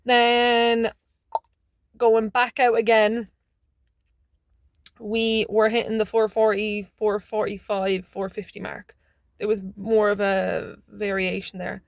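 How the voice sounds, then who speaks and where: A woman chatting casually to her camera in a rambling vlog, her voice a little hoarse and phlegmy. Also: medium pitch, little pitch movement, slow pace, long pauses, no echo, studio quality. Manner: chatty, rambling, restarts mid-word. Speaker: vlogger.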